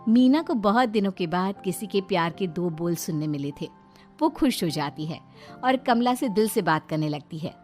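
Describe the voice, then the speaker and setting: A woman's voice: lowish voice, lively intonation, moderate pace, a little mellow, faint music behind. A woman narrator reading a scripted moral story.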